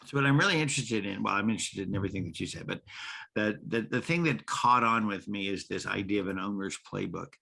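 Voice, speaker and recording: Male host, gravelly low voice, forceful, rambling with restarts. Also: fast. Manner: rambling, many restarts and repeated words. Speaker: podcast host. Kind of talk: conversational podcast interview.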